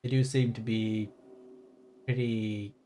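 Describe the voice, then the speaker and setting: A man's voice: lowish voice, flat delivery, slow pace, warm tone, slight background noise. A man streaming a game, chatting casually and rambling, easily surprised by what he sees. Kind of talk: casual live commentary.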